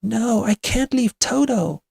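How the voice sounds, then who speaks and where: Woman as Dorothy, a high, anxious young girl. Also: a little slow, gravelly, darkish tone, dry recording, studio quality. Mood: desperate. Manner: pleading, worried. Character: anxious young girl Dorothy fretting over her lost dog.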